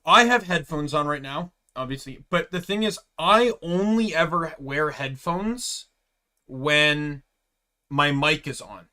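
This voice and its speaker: A young man streaming a game, chatting casually in rambling asides with a loud voice. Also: a little high, lively intonation, slow. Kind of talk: casual gameplay chat. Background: game music.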